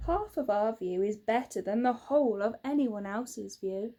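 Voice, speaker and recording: Woman playing an upbeat storybook husband, softly husky. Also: on the high side, normal speed, lightly acted. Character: cheerful, optimistic, practical husband in a storybook.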